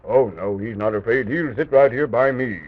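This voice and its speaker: Man as a courteous armored castle guide, formal, patient, medium-pitched, children's radio serial, thin phone-like vintage sound. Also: a little hiss or hum, natural voice. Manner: formal, measured, explanatory. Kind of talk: 1920s-30s children's radio serial acting. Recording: tinny old radio recording.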